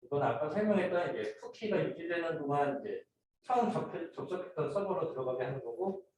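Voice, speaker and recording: A man with a slightly high voice gives a student project presentation, explaining in an informal, halting way. He is recorded from a distance in a very reverberant room, with some noise in the recording. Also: expressive, a little mellow. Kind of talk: team project presentation, explaining slides and a demo video. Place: indoor room, voice picked up from a distance. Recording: very echoey room, distant mic, noisy.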